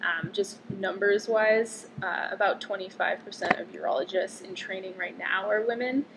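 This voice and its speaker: A woman's voice: medium pitch, fairly even intonation, moderate pace, creaky, background noise. A woman in her twenties from Connecticut, a medical student answering interview questions casually, with lots of "um" and "like". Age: 20s. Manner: chatty, frequent "um" and "like" fillers.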